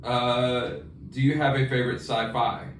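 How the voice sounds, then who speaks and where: A man's voice: medium pitch, level tone, a little slow. A man co-hosting a podcast in casual, slangy, enthusiastic banter about books with a friend. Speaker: podcast co-host. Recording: echoey room, distant mic, faint noise.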